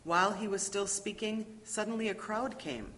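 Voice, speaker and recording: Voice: medium pitch, moderate pace, noisy background. Scripture narrator in a reverberant hall. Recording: echoey church hall, long reverb.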